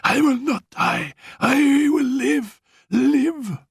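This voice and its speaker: A man's voice: animated, drawn-out words, gravelly, slightly rough, no echo, clean studio sound, exaggerated voice. A man voicing a dying young woman in an audiobook, breathless and coughing, defiant and imperious, laughing between pleas and commands. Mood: feverish, defiant. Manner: high-pitched, laughing, pleading and commanding. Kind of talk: audiobook character reading.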